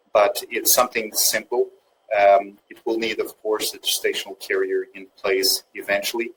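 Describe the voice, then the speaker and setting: A man's voice: on the low side, a bit bright, slight background noise, poor audio. A man from Portugal, a fertility doctor, answering questions on a webinar with frequent fillers and restarts. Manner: hesitant, many fillers and restarts.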